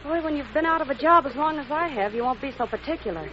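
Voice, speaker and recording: An actress playing a spunky, chatty young typist out of work, brisk and eager, in 1940s radio acting. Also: female voice, high-pitched, lively intonation, sharp tone. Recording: dull old radio recording, hiss.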